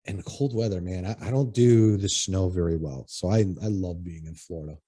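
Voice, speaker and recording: Podcast host, man, low mellow voice, rambling and profane, dry close sound. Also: a little fast, dry recording. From Connecticut. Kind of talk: casual chat.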